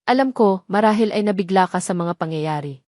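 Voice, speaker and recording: Woman voicing a gentle, dignified older matriarch. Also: medium pitch, lively intonation, a little fast, dry recording, clean studio sound, close to an everyday voice. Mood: gently insistent. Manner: soft-spoken, affectionate, earnest.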